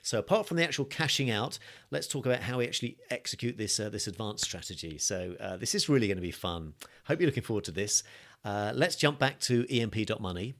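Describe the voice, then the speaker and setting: Male online course instructor, lowish voice, chatty and upbeat, slightly worn voice. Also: lively intonation, fast talker, fairly bright tone. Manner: chatty and upbeat, thinking aloud with fillers. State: slightly losing his voice, coughing. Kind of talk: screen-share tutorial walkthrough.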